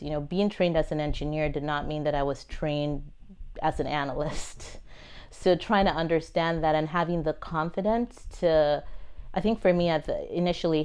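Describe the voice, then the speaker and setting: A middle-aged woman with a Nigerian accent that carries traces of American and British speech. Her voice sits low and sounds smooth and resonant. She answers interview questions thoughtfully and earnestly. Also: darkish tone. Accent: Nigerian, with American and British touches. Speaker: pharma executive guest.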